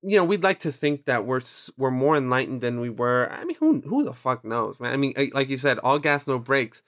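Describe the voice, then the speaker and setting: A man's voice: mid-range voice, big pitch swings, fairly quick, fairly bright tone. A man in his twenties whose first language is Spanish, chatting casually on a podcast, rambling and swearing freely as he quotes his own thoughts. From Mexican and Nicaraguan heritage. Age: 20s. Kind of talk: casual chat.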